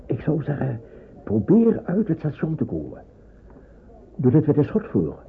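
An actor playing a calm, resolute doctor who secretly rescues people in a wartime radio drama. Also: male voice, on the high side, normal speed, gravelly, exaggerated voice. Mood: urgent, conspiratorial. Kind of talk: Dutch radio drama acting. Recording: tinny, band-limited old radio recording, faint noise.

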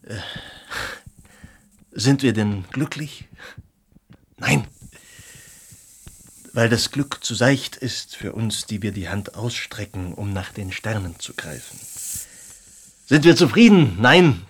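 An actor playing a tipsy, impassioned Romantic artist in a radio drama, declaiming bitter philosophy with halting dramatic pauses. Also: male voice, on the low side, expressive, slightly rough, full character voice. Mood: bitter, proud. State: drunk. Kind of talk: German radio drama acting.

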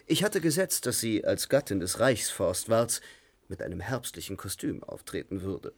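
A man's voice: on the low side, big pitch swings, normal speed. An actor playing a male stage actor who talks in a wry, chatty way.

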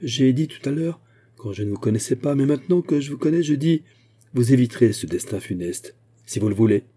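An honourable young officer and gentleman, voiced by a male narrator. He speaks earnestly and courteously, with a lively delivery. The storybook voice has a dark tone and a husky grain. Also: mid-range voice, a little fast, voice pushed up.

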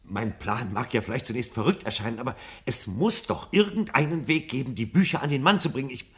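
Actor as an urgent, earnest idealist rebel. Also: male voice, medium pitch, expressive, a little fast, close to an everyday voice. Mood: eager, pleading. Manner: urgent, pleading, insistent. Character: earnest, restless rebel man, desperate idealistic dreamer. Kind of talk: 1970s German radio drama acting.

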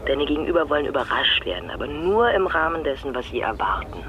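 A man's voice: a little high, sing-song, fast talker, pushed and bright, lo-fi sound, a little hiss or hum. An actor, a man, playing a candid academic in casual, conversational radio drama dialogue with a wry manner. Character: candid academic recounting a job interview.

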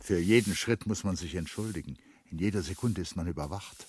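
A man's voice: sits low, brisk, close to an everyday voice. An actor playing a brooding, suspicious, resentful man in a German radio play, on a noisy recording.

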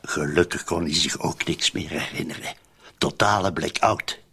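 An actor with a Flemish accent playing a cynical, streetwise murder accomplice in a radio drama, insistently spelling things out in colloquial speech. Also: male voice, low voice, moderate pace, sharp tone, slightly rough, exaggerated voice. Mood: grim, sardonic.

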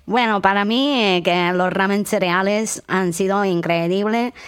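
A woman's voice: mid-range voice, unhurried, smooth, close to an everyday voice. A woman playing a devoted female punk fan who rambles on chattily in a mock documentary. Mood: enthusiastic.

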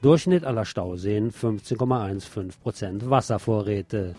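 A man's voice: fairly low pitch, moderate pace, over loud music. A man reading scripted radio news with some pointed commentary.

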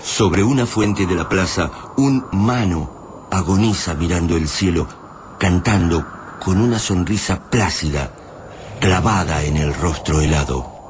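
A man with an Argentine accent acting as the grave, deliberate narrator of a science-fiction radio serial. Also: low voice. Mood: grave, ominous. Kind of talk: dramatic scripted radio narration. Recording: clearly noisy background ambience.

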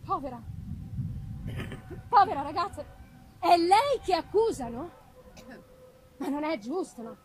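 Woman as Victor, anguished young man, slow and emphatic. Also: very high-pitched, big pitch swings, slight background noise, full character voice. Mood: urgent disbelief. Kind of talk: dramatic gothic novel reading. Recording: music behind the reading.

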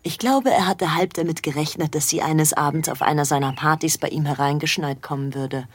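Deep-voiced, cool young socialite woman. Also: a little fast, slightly rough. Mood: confiding.

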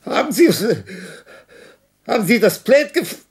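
An actor playing a dying, lonely old composer in a radio drama, bitter and imperious, with a husky, gravelly, halting delivery. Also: male voice, very high voice, sing-song, no echo, studio quality, full character voice. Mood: agitated, calling. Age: older. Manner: halting, theatrical, swinging between muttering and outbursts. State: weak, shivering with cold. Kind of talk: German radio drama monologue.

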